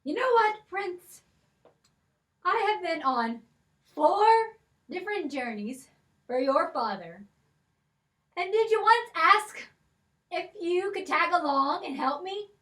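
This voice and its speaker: Lady doing a spirited clever girl, puppet show, really high, bright and slow, heard from off the mic. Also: lively intonation, far from the mic, heavily acted. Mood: sassy. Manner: spirited, sassy. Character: brave clever independent girl.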